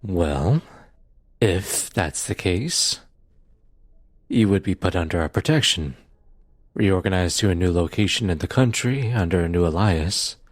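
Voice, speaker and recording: A man playing a firm, matter-of-fact police detective in an audio roleplay drama, with a measured, official manner and a husky voice. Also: low voice, expressive, no echo, studio quality. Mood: measured, persuasive.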